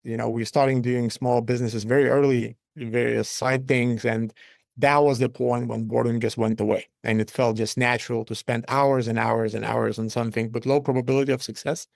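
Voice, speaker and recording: A man, a company founder giving measured, matter-of-fact answers as an interview guest. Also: medium pitch, flat delivery, vocal fry, no room sound, studio quality. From Lithuania.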